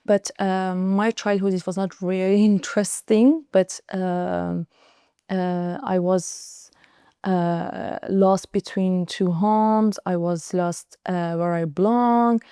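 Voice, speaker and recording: A young woman in her twenties from Iran, a guest telling her story in an interview, speaking earnestly with many pauses and hesitations. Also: fairly low pitch, steady tone, mellow, clean tone, no echo, studio quality. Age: 20s. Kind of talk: personal interview in French and English.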